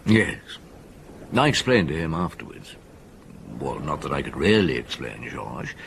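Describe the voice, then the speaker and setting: A very low, gravelly man plays out a seasoned detective in a radio drama. His delivery is reflective and measured but widely inflected, with some background noise. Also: sing-song, a bit bright, exaggerated voice. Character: seasoned, thoughtful police detective recounting a case.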